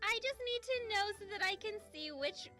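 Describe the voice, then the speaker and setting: A woman's voice: very high-pitched, animated, normal speed, sharp tone, faint music behind, heavily acted. A woman playing an overly polite tech support agent in a comedy sketch, with sing-song customer-service manners. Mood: helpful.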